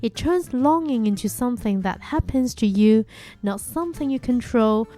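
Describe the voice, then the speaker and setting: A young woman whose first language is Chinese hosts a podcast in a calm, gentle, reflective way. Her voice sits fairly high but sounds mellow and warm. Soft music plays faintly behind her. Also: moderate pace. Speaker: podcast host. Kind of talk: reflective scripted monologue.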